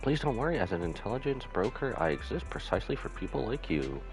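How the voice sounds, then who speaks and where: A man's voice: lowish voice, very animated, quick pace, over music, low-quality recording. A man reading aloud as a polite, reassuring intelligence broker in a game, courteous and businesslike.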